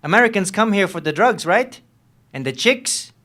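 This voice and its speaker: An actor playing a menacing, volatile lieutenant who taunts and needles with abrupt questions in a dramatic reading of a novel. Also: male voice, sits high, sing-song, normal speed, bright, forceful tone, heavily acted. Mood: menacing, angry.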